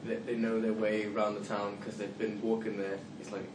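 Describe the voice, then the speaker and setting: A man's voice: fairly low pitch, monotone, a little fast. A male student answering in class, hesitant and saying "like" often. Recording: echoey room from far off, clear noise.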